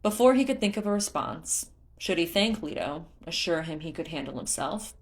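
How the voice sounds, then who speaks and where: A woman reading a story aloud in an expressive, scripted narration style. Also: lowish voice, moderate pace. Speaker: fan fiction narrator.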